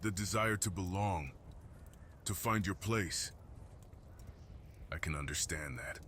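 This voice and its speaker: Casting: male actor as gentle, lonely mountain guardian beast for ASMR fantasy roleplay; very low register, solemn and reassuring, some background ambience. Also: slow, a little hiss or hum. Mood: tender, comforting. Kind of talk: ASMR fantasy roleplay, slow intimate monologue.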